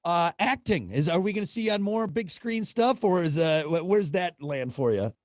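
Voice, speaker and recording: A man hosts an interview in a chatty, casual way, often repeating his phrases. His voice sits somewhat high for a man. The sound is dry and close. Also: animated, moderate pace, dry recording. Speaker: show host, interviewer.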